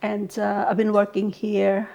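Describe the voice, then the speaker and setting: A woman in her sixties introduces herself in a friendly, chatty manner. Her voice has a gravelly, creaky texture. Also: mid-range voice, monotone, unhurried, warm tone, dull audio. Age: 60s.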